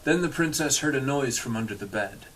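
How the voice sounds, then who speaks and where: A man with a medium-pitched voice reads a storybook aloud. His delivery is dry and irreverent, with casual asides. There is some faint noise and clicking in the recording. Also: lively intonation, fairly quick, a bit bright, low-quality recording. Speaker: storyteller reading a picture book aloud to an audience.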